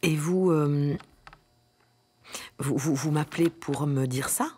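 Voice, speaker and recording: A deferential employee in a darkly comic radio play. Her voice is low and husky, and she speaks hesitantly, with stammered, careful phrasing. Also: slow pace, warm tone, heavily acted. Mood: timid, puzzled. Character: timid, deferential single female employee. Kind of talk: satirical radio drama acting.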